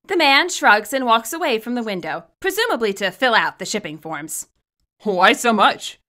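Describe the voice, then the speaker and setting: A woman reading scripted commentary and story narration, expressive and wry as the author of the tale. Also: on the high side, normal speed. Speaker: fan fiction author and narrator.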